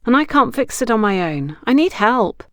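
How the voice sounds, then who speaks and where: A woman's voice: a little high, sing-song, normal speed, a bit bright, no echo, clean studio sound, pitched above the performer's own voice. A woman voicing a troubled, needy, impulsive young woman in audiobook dialogue, with an emphatic, dramatic delivery. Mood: remorseful, earnest.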